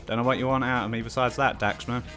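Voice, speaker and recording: A man's voice: mid-range voice, level tone, fast talker, a bit bright, soft background music. A man hosting a casual Q&A with friends, reading out viewer questions in a dry, teasing way.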